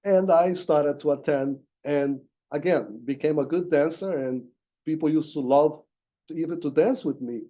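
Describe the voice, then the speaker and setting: Male panelist in his 60s, very dark mellow tone, muffled lo-fi audio. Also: fairly high pitch, moderate pace. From Brazil. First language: Portuguese. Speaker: panelist, veteran DJ. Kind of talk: storytelling at a panel.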